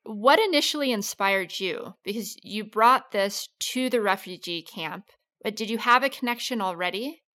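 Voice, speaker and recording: Female interviewer with a bright, forward tone and an eager, admiring delivery. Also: mid-range voice, normal speed. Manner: enthusiastic, admiring. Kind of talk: interview questions.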